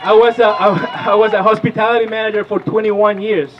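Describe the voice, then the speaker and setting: A man's voice: sits high, level tone, brisk, dull audio. A man in his thirties from Peru, giving an impassioned rally speech as a political organizer and asking the crowd questions. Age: 30s. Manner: rousing, asks the crowd questions. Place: outdoor rally.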